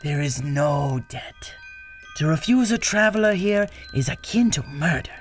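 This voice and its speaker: A man playing a serene, kindly monk in an adventure audio drama, speaking gently and formally with measured phrasing. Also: fairly high pitch, animated, faint music behind.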